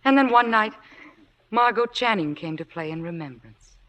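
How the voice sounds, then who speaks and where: An actress playing a demure, earnest young theatre fan, humble and polite, in an old radio drama. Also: female voice, mid-range voice, expressive, moderate pace, fairly bright tone, lightly acted, poor audio. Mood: wistful. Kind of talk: 1940s-50s radio drama acting. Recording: old radio broadcast recording.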